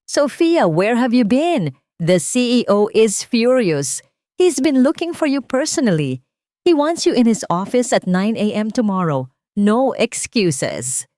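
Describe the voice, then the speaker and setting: An office supervisor in an audio drama, acted out by a woman narrator. The voice is pushed high and is forceful, furious and demanding. Orders come out clipped and urgent, in a dry, close sound. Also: big pitch swings, slow, no room sound. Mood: angry, urgent.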